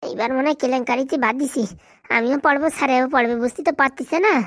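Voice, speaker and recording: A woman plays a fretful little schemer of a village sidekick in a comic cartoon. The voice is high and chatters extremely fast, deferential, in dialect. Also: steady tone, a bit bright, no echo, clean studio sound. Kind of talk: comic Bengali cartoon dialogue.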